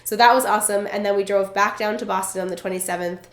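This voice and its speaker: A woman's voice: medium pitch, steady tone, fast, a bit bright. Chatty congested woman in a roomy room. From Vermont. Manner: chatty, bubbly, lots of fillers. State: stuffed up, congested. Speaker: vlogger. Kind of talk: casual chat to camera. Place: indoors at a relative's home. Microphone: camera in front while seated. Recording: roomy echo.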